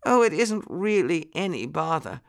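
A man's voice: a little high, sing-song, moderate pace, gravelly, voice pushed up. A man voicing a fussy, ailing lady muskrat housekeeper in a plaintive manner for a children's storybook. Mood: polite, apologetic.

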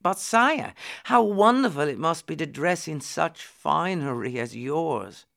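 Man putting on a high-pitched poor ragged boy. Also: sing-song, a little slow, vocal fry, fairly bright tone, exaggerated voice. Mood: wistful. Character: poor ragged boy, humble, eager, deferential. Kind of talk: storybook character reading.

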